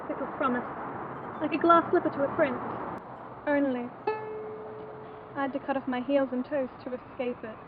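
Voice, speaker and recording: A woman's voice: high voice, lightly acted. A young woman playing an intense, repressed aristocratic spinster haunted by her youth, in a theatrical confessional monologue. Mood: anguished. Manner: theatrical, confessional, deliberate phrasing. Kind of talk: dramatic monologue. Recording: tinny band-limited noisy amateur film sound.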